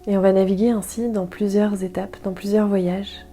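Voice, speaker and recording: Very mellow, soft-spoken woman guiding meditation. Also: mid-range voice, soft background music. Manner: soft, calm, soothing delivery with gentle pauses. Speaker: guided meditation instructor. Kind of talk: guided yoga nidra meditation, soothing instruction.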